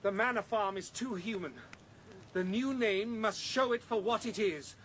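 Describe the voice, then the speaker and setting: High-voiced fiery pig orator. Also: male voice, moderate pace, a bit bright, slight background noise. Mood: stern, visionary. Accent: British. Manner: declamatory, commanding, rallying. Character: zealous male farm pig, a fiery revolutionary orator. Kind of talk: British radio drama acting.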